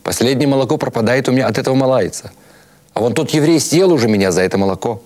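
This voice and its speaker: A man voicing a brash, sharp-tongued woman with a brusque, mocking manner in an audiobook story. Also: medium pitch, fast, voice pushed up, lightly acted. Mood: mocking complaint. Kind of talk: audiobook character acting.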